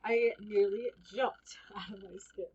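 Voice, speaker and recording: A woman narrates a children's story with a husky edge to her mid-pitched voice. She reads expressively, acting out the dialogue. The recording is slightly dull and lo-fi. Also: fairly even intonation, slow, a little mellow. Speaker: read-aloud storyteller. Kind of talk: children's book read aloud, first-person narration.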